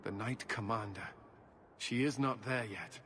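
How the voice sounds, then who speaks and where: Serious conflicted knight officer, fantasy game, formal talk, slight background noise. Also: male voice, fairly low pitch, a little slow, a bit bright. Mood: solemn, troubled. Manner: formal, solemn. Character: grave, conflicted knight officer of a holy order.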